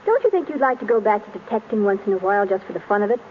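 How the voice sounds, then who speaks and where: An actress playing a detective's playful, persistent wife, teasing and nudging, in an old radio drama. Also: female voice, medium pitch, very animated, very fast, natural voice. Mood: coaxing. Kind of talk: 1930s radio drama acting. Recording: dull, noisy old radio recording.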